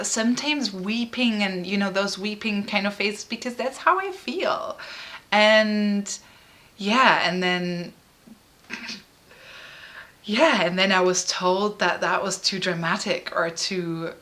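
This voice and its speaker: Bright-voiced woman, halting and emotional. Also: medium pitch. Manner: halting and emotional, frequent fillers and restarts. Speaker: artist and musician. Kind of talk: personal interview.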